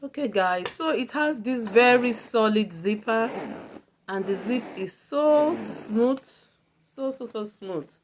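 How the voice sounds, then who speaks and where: A woman's voice: on the high side, animated, slow pace, a little hiss or hum. A woman with a Nigerian accent gives a casual product review as a video blogger, often repeating words for emphasis.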